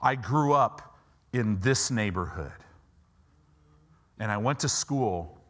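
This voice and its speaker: A man's voice: fairly low pitch, animated, takes it slowly, fairly bright tone. An American man in his fifties preaching a conversational sermon as a pastor, emphatic and repeating phrases to stress his points. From United States, Chicago and Southern California. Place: church auditorium stage, indoors. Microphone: lapel mic.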